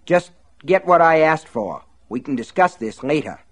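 A man's voice: fairly high pitch, lively intonation, normal speed, voice pushed up. A brutal, bullying husband sneers and bellows orders, his voice pushed up and hard, as a man reading an audiobook puts him on. The delivery rides up and down with menace. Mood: sneering. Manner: snarling, sneering, shouting. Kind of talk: audiobook narration.

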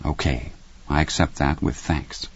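A man voicing a stern, strained father with a quick temper and a forceful, grim manner, as in an audiobook. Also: a bass voice, steady tone, husky, dull audio, pitched below the performer's own voice, full character voice. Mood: stern, calmer.

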